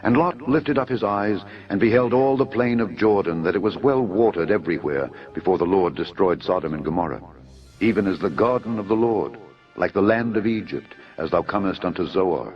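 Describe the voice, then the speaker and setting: A man giving a dramatised scripture narration in a solemn, measured storytelling manner. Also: sits low, expressive. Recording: dull lo-fi audio under music. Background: background music.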